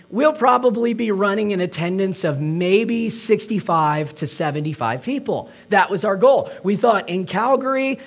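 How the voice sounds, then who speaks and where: A man with a strained, hoarse voice delivers a sermon, pitched fairly high for a man. He jokes and banters with the congregation, asking them to call out and raise hands. He speaks in a movie-theater church venue. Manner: joking, conversational, addresses crowd directly. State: hoarse, partly lost voice. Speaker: pastor.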